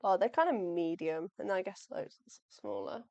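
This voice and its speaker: A woman's voice: medium pitch, animated, normal speed, mellow, dry recording. A young woman live-streaming as she packs shop orders. She talks in a chatty, friendly way, thinks aloud and apologizes often, and her voice is wearing out. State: losing her voice. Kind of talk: casual livestream chat. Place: home workspace, packing orders.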